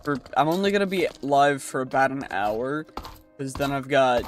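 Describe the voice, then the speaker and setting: A man's voice: mid-range voice, animated, normal speed, soft background music. Congested young man streaming a game. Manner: easygoing, thinking aloud, self-reassuring. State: congested from a cold. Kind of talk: casual gameplay commentary.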